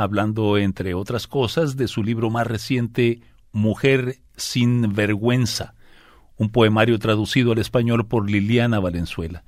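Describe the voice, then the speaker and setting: A man hosting a formal literary interview in a studio, speaking in a measured, courteous way with some hesitation. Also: mid-range voice, no room sound. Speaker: radio interview host.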